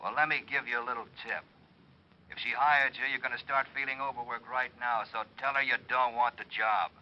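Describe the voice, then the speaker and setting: Actor as a blunt, menacing radio gangster, muffled. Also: male voice, mid-range voice, steady tone, fairly quick, close to an everyday voice. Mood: threatening. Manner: blunt, slangy, threatening. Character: menacing tough male gangster making threatening phone call. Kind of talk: 1940s-50s radio acting. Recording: dull old radio recording, phone call.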